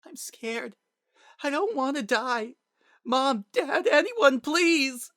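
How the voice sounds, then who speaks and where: Small monster boy, played by a man: high-pitched, fragile, sorrowful and pleading, for a dramatic audiobook-style fantasy story. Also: expressive, slow pace, a bit bright, no room sound, clean studio sound, heavily acted. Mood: terrified, crying out. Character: frightened young monster boy, gentle and sorrowful.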